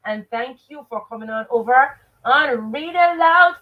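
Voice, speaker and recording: A woman with a Jamaican accent hosting an interactive read-aloud lesson, expressive and emphatic, putting questions to her listeners. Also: high voice, a little slow, highs cut off. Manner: expressive, emphatic, repeats rhetorical questions and stock phrases. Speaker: teacher and host of a live read-aloud show.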